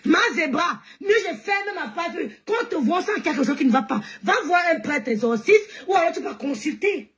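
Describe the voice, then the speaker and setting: A Cameroonian woman hosting a live stream, mocking and laughing as she rants and often shouts. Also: high voice, expressive, normal speed. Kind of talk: heated live-stream rant.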